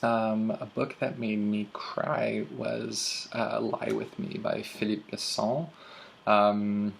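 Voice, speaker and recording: A young man in a casual vlog, talking with frequent ums and uhs. His voice is heavily gravelly and sits fairly low. A faint hiss of room noise sits under him. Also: little pitch movement, takes it slowly. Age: young adult. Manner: chatty, many ums and uhs. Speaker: booktuber. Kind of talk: casual book tag vlog.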